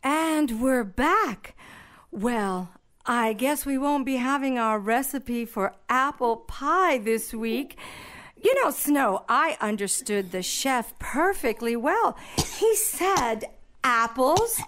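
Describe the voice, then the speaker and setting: Woman as a fussy radio host, high and unhurried. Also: animated, fairly bright tone, exaggerated voice. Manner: prim, fussy. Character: genteel female radio show host. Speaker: show host. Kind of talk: comic radio play acting.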